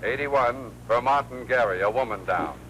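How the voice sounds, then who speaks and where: An actor playing a brisk, official American police dispatcher over a radio in a 1940s film. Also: male voice, medium pitch, fairly bright tone, slight background noise, poor audio. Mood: official, flat. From United States.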